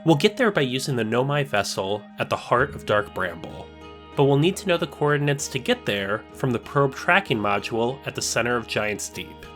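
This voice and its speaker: A man giving scripted, measured voice-over narration for a video essay, slowing down for emphasis, with soft guitar music behind. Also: medium pitch, sing-song.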